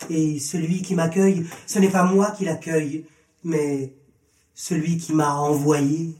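A man's voice: on the high side, little pitch movement, a little slow, darkish tone, natural voice. A man voicing a stern, commanding holy teacher in a scripture story, speaking with solemn authority. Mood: solemn teaching. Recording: echoey room, distant from mic.

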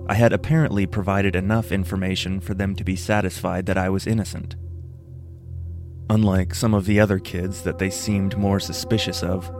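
A man reading a scripted first-person story as narrator, in a measured, solemn manner. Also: low-pitched. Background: music.

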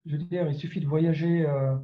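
Higher-voiced, hesitant Frenchman in a roomy space. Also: fairly even intonation, brisk. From France. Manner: hesitant, searching for words, introspective. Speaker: writer being interviewed. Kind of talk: reflective spoken interview answer. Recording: dull, roomy, echoing sound.

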